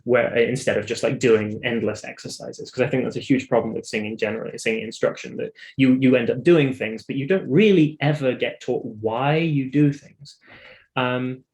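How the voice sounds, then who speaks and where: A man's voice: medium pitch, moderate pace, darkish tone, poor audio. A British man talking casually in an interview, explaining his own story in a talkative, emphatic way. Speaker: interview guest, singer and vocal coach.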